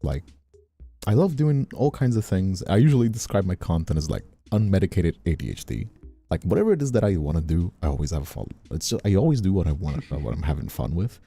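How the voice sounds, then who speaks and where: Male streamer from Brazil, Portuguese native, very dark husky tone, chatty and casual, soft music behind. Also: sits low, big pitch swings, quick pace. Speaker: streamer and voice actor, hosting. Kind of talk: casual livestream chat.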